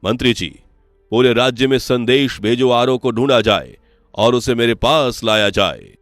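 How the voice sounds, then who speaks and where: A man voicing a kindly, dutiful middle-aged king and father in an animated story, courteous and regal. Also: fairly low pitch, normal speed, no echo, studio quality, soft background music. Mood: troubled, commanding. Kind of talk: animated moral story voice acting.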